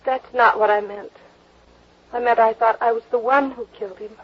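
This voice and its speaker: An actress playing a dazed, frightened young woman in a 1950s radio drama, halting and trailing off, on a dull old broadcast recording. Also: a little high, steady tone, close to an everyday voice. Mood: dazed, shaken.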